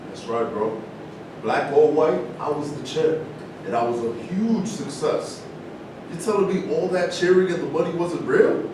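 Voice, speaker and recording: A man's voice: a little high, measured pace, lightly acted. A man as a proud fallen champion, very echoey. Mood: proud, defiant. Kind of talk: staged play reading. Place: large indoor room at a live staged reading, distant mic. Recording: very echoey, noisy room from far off.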